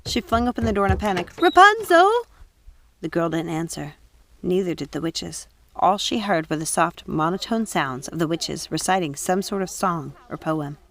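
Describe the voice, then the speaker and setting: A woman's voice: fairly low pitch, normal speed. A woman reading an audiobook as a dramatic, suspenseful narrator. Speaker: audiobook reader. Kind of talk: dramatic scripted story narration.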